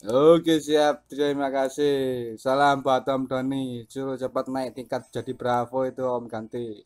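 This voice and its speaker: A man's voice: mid-range voice, little pitch movement, measured pace, darkish tone. A man, chatting casually as a CB radio operator. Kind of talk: casual two-way radio chat.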